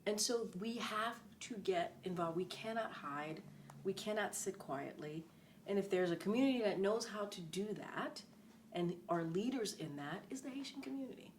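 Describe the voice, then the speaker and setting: A woman's voice: mid-range voice, lively intonation, normal speed, slight background noise. A middle-aged woman speaking as an interview guest, earnest and emphatic, often repeating key phrases. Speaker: interview guest, former press secretary. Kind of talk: conversational interview.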